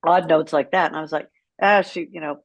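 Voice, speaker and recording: A woman's voice: low voice, fairly quick, creaky, no echo. A middle-aged American woman telling stories as an interview guest in a candid, wry, conversational way. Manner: candid, wry, animated retelling with quoted dialogue. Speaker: interview guest, caregiver advocate. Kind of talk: conversational storytelling.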